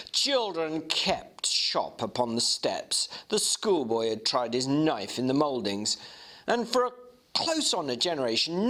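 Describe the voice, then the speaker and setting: A man giving an expressive, theatrical dramatic reading of a classic novel. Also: medium pitch. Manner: expressive, theatrical storytelling delivery. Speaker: reader and commentator.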